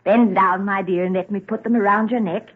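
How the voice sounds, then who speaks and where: An actress in a vintage radio drama playing a gentle, doting mother of a grown son, genteel and fondly fussing. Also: medium pitch, fairly even intonation, brisk, husky, narrow, tinny audio, close to an everyday voice. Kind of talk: 1940s radio drama acting. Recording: thin, band-limited vintage radio recording.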